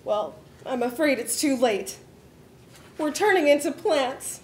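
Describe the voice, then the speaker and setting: Actress doing high voiced lovestruck lady going plant, slow n melodramatic, comedy read, roomy sound. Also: animated, a little hiss or hum. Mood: resigned, lovestruck. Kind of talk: comedy script table read. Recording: echoey room.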